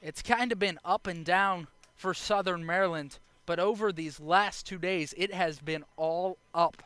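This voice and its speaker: High-pitched young American baseball announcer, crisp and bright. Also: male voice, a little slow, no room sound, soft background music. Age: young adult. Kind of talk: live baseball radio and TV play-by-play commentary.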